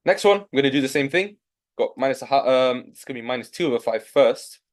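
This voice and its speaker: A young man with a Yorkshire accent teaching a live online maths lesson, coaxing his students along with steady encouragement. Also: mid-range voice, fast. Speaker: maths tutor.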